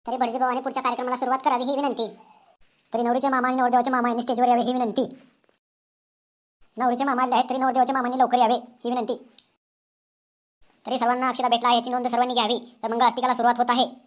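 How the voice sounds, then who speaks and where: Casting: woman as excitable cartoon village youngster, very high pitch, rapid delivery, eager calling. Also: natural voice. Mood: announcing. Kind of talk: comedy cartoon dialogue.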